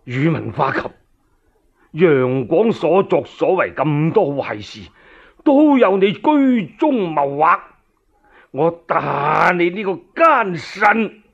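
A man acts out a scornful, righteous old general of seventy-three in a storytelling drama. He declaims slowly and defiantly, stretching his words with big swings of pitch. The recording is a little dull. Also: big pitch swings, gravelly, husky, exaggerated voice. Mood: furious. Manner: declamatory, drawn-out, thundering delivery.

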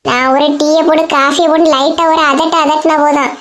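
A high-pitched, strict mother-in-law played by a woman, sure of herself and fond of boasting. She hands out firm advice in a Kanyakumari Tamil accent, in the manner of a comic cartoon. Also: level tone, fairly quick, fairly bright tone. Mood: boastful, advising. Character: self-assured, bossy, strict mother-in-law giving advice.

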